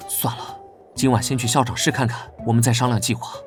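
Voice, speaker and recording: An actor playing a guarded, prickly young knight vice-captain who speaks curtly and earnestly, in an animated drama dub. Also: male voice, mid-range voice, fast talker, lightly acted. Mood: wary. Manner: curt, wary and earnest. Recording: background music under dialogue.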